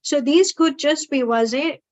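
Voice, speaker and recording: A woman's voice: high-pitched, measured pace. A woman from East Africa, a native Swahili speaker, explaining a point in an unscripted academic discussion and often interrupting herself. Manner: frequent "you know", explanatory, self-interrupting. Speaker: academic meeting participant. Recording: dull, lo-fi audio.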